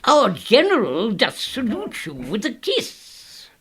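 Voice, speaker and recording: A woman playing a courtly, teasing Greek commander with a husky voice in a Shakespearean audio drama. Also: on the high side, huge pitch swings, slow, a bit bright, exaggerated voice. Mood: courtly, wry.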